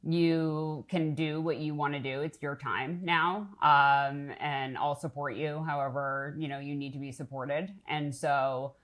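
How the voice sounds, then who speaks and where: A woman's voice: sits low, steady tone, a little slow, few pauses. A middle-aged woman from the Boston area, a nonprofit leader talking candidly and with humor in an interview. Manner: candid, self-deprecating, upbeat. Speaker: nonprofit CEO, guest. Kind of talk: casual interview talk.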